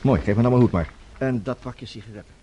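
An actor playing a sceptical, urbane bachelor journalist in a Dutch radio play, drily casual with small hesitations. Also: male voice, mid-range voice, fast talker, dark tone, muffled recording, a little hiss or hum, lightly acted.

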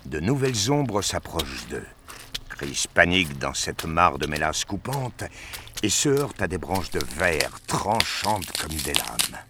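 Deep, slow male narrator, noisy background. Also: big pitch swings. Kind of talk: scripted story narration.

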